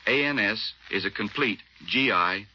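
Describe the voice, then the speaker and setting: A man's voice: on the low side, animated, normal speed, sharp tone. An American man, an army officer, giving clipped, matter-of-fact scripted answers in a 1940s radio interview. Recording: muffled 1940s radio, faint noise.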